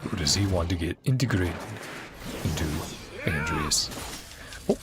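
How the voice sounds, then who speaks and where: Low-pitched man, slow and lively, reacting. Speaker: streamer reacting to a game story. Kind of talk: casual gameplay reaction commentary. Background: game music.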